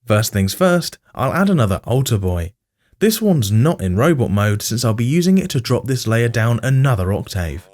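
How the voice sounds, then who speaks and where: A man's voice: medium pitch, very animated, moderate pace, a little mellow. A man giving scripted tutorial narration, explaining step by step in informal language and addressing the viewer directly. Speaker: music production tutorial host.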